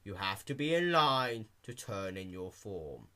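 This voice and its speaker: A man voicing an emotionless, rule-bound pony clerk in a story reading, in a slow deadpan monotone. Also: fairly low pitch, exaggerated voice. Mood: flat.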